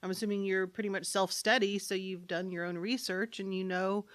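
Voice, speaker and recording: A woman hosting an interview, steering the talk with clear, direct questions. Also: medium pitch, fairly even intonation, fairly quick, a bit bright. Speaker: host.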